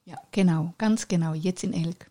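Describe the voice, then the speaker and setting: A woman's voice: on the low side, normal speed, dark tone. A middle-aged woman, a life coach and podcast guest, speaking openly and reflectively in a casual interview. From Switzerland.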